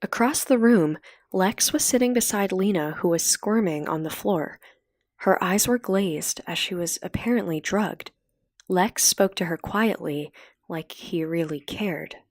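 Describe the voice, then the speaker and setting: A woman's voice: on the low side, a little slow, a little mellow, dry recording, studio quality. A woman reading a story as an audiobook narrator in a scripted narration style.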